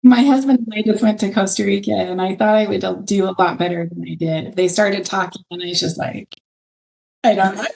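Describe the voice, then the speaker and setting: A woman's voice: mid-range voice, fairly even intonation, a little fast, gravelly, a little mellow, low-quality recording. A middle-aged woman, a fitness trainer, answering interview questions in a hesitant, casual way and laughing often.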